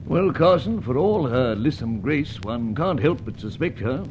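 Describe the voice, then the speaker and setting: An actor playing an elderly, genteel British knight in a radio adventure serial, droll, fussy and given to hesitant musing. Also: male voice, expressive, a little slow, natural voice. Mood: suspicious, musing. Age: older. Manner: droll, ruminative, hesitant, old-fashioned. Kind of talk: old-time radio adventure serial acting. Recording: dull, noisy, rumbling lo-fi audio.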